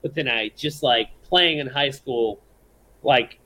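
A man's voice: medium pitch, slow pace, pushed and bright, low-quality recording. A man, a guest on a podcast, talking in a rambling, enthusiastic way and swearing freely in casual chat. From American South.

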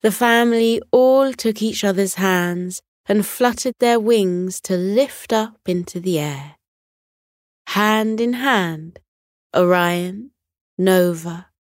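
A woman narrating a scripted bedtime story for children in a gentle, measured, soothing way. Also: mid-range voice, dry recording, clean studio sound.

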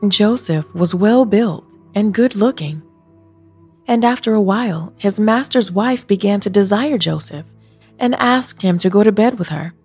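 A woman with a husky voice narrates scripture in a scripted storytelling style. Her pitch sits in the middle of the female range, and soft music plays behind her. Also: lively intonation, measured pace. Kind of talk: scripted Bible reading, expressive storytelling.

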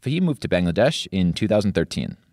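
A man reading scripted narration as a podcast host, in a measured delivery. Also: low voice, very animated, darkish tone, no room sound, clean studio sound.

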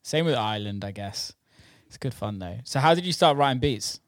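A man with a mid-pitched voice, recorded dry and close. He interviews in a loose, chatty style, joking and swearing freely. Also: animated, brisk, no room sound. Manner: informal, jokey, sweary. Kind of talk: casual chat.

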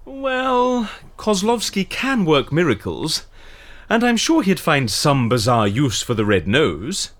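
A man playing an eccentric, witty and excitable fixer in an audio drama, with theatrical, wry delivery. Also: on the high side, slow, full character voice. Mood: wry, negotiating.

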